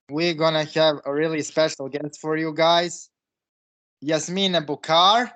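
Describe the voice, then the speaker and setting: A man from Bosnia hosting a streamed interview, his voice sitting a little high. He introduces his guest and asks his questions slowly and deliberately, stretching some words out. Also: a bit bright. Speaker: host.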